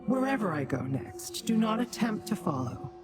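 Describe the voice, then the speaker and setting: A woman's voice: mid-range voice, very animated, moderate pace, close to an everyday voice. An actress playing a proud, cold female demon in a video game, speaking in a haughty, condescending, formal way. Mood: commanding. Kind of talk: video game character dialogue. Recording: music behind the voice.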